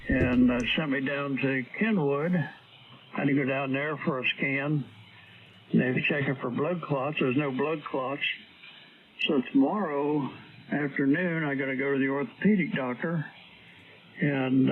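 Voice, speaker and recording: A man chats casually over amateur radio in a mid-pitched voice. The transmission is very narrow, like a phone line, with a light layer of static.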